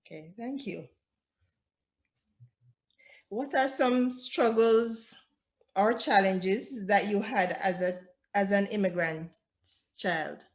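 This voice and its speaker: A woman's voice: mid-range voice, slow, pauses often. A woman hosting a video call interview, asking questions and giving short affirmations. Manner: brief affirmations and prompting questions. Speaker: interview host.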